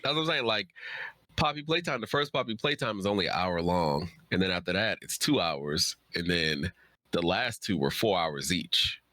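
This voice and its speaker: A man's voice: low voice, lively intonation, moderate pace, pushed and bright, no echo. A man in a casual interview chat, answering as a guest in an informal, agreeable way.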